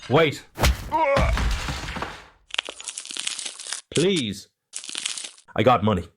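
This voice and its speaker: A man's voice: mid-range voice, sing-song, slow, noisy background. A man playing a smug, wealthy, corrupt real estate mogul in a noir audio drama. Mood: frightened pleading.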